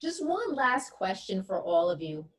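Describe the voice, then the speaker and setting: A woman's voice: mid-range voice, big pitch swings, measured pace. A woman interviewing a group, asking encouraging questions. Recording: distant from the mic.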